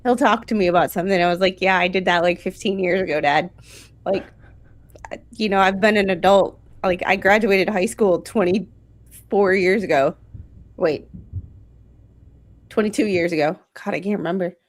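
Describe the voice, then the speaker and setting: Woman, 40s, chatty stream guest who laughs at herself, mid-range pitch. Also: moderate pace, pauses often. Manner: chatty, laughs often, self-deprecating. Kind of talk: casual chat.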